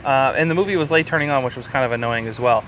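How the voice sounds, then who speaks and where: A man's voice: medium pitch, rapid-fire, fairly bright tone, slight background noise, rough recording. A man chatting casually and opinionatedly as he co-hosts a movie review, rambling and swearing freely. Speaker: podcast co-host reviewing films.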